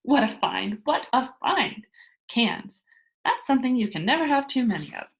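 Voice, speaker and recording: A woman's voice: on the high side, sing-song, normal speed, creaky, muffled recording. A woman voicing a bold, cheerful young girl adventurer from a children's storybook, with a breezy, boastful manner. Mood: delighted. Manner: breezy, boastful, matter-of-fact. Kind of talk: children's storybook character read aloud.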